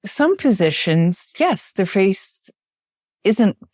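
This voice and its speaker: A woman with a naturally loud voice, explaining communication ideas as a guest in an emphatic, animated way, often checking in with "right?". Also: mid-range voice, takes it slowly, dry recording, studio quality. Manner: emphatic, animated, frequent tag question "right?". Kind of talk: podcast interview, explaining with examples.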